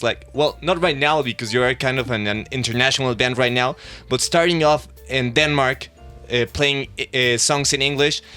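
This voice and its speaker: Bright-voiced blunt radio host man. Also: medium pitch, clear, resonant tone. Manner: blunt, swears casually. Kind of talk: casual interview questions.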